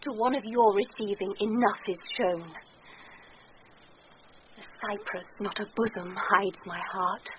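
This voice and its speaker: A woman's voice: mid-range voice, fairly even intonation, unhurried, long pauses, natural voice. A British woman playing a proud, lovestruck noblewoman, speaking stately and ardent verse in a Shakespearean radio drama. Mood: ardent, ashamed. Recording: muffled 1990s radio broadcast, faint noise.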